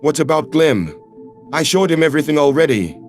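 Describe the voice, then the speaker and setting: A man's voice: on the high side, big pitch swings, a little fast, faint music behind, voice pushed down. A man voicing an earnest, lovestruck human ambassador in a sci-fi audio drama, speaking in a heartfelt, pleading way. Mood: weary, frustrated. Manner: pleading, heartfelt, occasional swearing.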